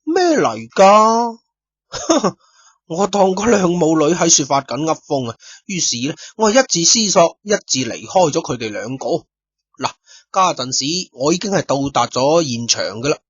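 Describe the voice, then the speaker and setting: A man spins a first-person detective tale, talking directly to his listeners with chatty, lively asides. His voice is a little husky and sits at a middle pitch. The recording is close, dry and dull, with a lo-fi edge. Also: moderate pace, dry recording. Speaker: storyteller narrating as detective. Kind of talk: first-person Cantonese storytelling.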